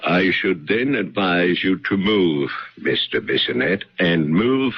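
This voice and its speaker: A man playing a grave, cautious professor with a husky voice and formal, emphatic delivery in a radio mystery. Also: low-pitched, expressive, exaggerated voice. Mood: grave warning. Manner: formal, deliberate, dramatic emphasis. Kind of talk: radio mystery drama acting. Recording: muffled radio recording.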